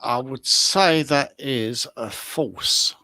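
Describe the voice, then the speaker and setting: A man chats casually as a show contestant in a bright, forceful voice. His pitch is average and the recording is close and dry. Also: drawn-out words, no echo. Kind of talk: casual chat, anecdotes.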